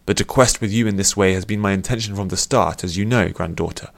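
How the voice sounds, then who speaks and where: A man's voice: low-pitched, quick pace, creaky. A man voicing a loyal, devout old grandfather in an audiobook, speaking earnestly and formally. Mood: earnest, devoted. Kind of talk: audiobook character voice.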